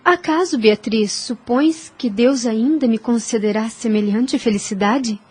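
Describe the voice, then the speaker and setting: Actress as gentle, husky young woman in love. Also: on the high side, unhurried. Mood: wistful. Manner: earnest, formal, sentimental diction. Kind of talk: Spiritist radio drama acting.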